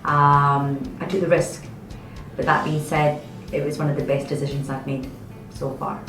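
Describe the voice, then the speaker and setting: A woman with a very low voice tells her story haltingly, pausing to reflect. She is heard from a distance in an echoey room. Soft music plays underneath. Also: steady tone. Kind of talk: personal story in an interview.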